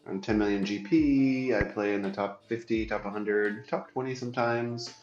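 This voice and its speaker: A man's voice: fairly low pitch, fairly even intonation, normal speed, darkish tone, reverberant, highs cut off. A man hosting a solo game-strategy show, talking casually and stuffy from a cold, with many ums and restarts. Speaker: show host and competitive player.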